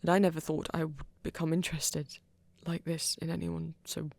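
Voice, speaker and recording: A performer playing a flirty, earnest vampire in an ASMR romance roleplay, speaking haltingly and intimately in a husky, often half-whispered voice. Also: medium pitch, little pitch movement, a little mellow, dry recording, lightly acted. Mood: tender, reassuring. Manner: hesitant, halting, breathy and intimate. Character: flirty, earnest vampire confessing love, soft-spoken.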